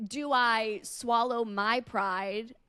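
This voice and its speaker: A woman's voice: fairly high pitch, steady tone, extremely slow, sharp tone, clear, resonant tone, no room sound. A woman podcast host chatting casually and candidly, with some swearing. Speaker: podcast host and radio personality.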